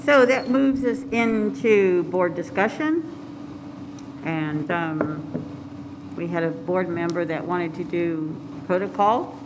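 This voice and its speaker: A woman's voice: on the low side, takes it slowly. A woman chairing a school board meeting, matter-of-fact as she moves the agenda along. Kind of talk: running a meeting. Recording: distant noisy meeting audio.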